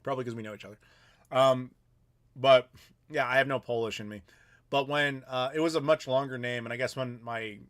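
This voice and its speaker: A man's voice: mid-range voice, steady tone, a little fast, a bit bright. A man in his thirties hosting a casual live Q&A, chatting loosely and reading viewer messages aloud. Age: 30s. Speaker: YouTuber host.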